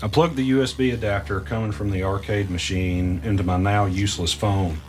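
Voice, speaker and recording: An anxious, earnest podcast host played by a man in a conspiracy audio drama. His voice sits low, and he talks naturally and a little hesitantly, with soft music behind him. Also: steady tone, a little fast, close to an everyday voice. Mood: measured, storytelling. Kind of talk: audio drama, naturalistic dialogue and first-person narration.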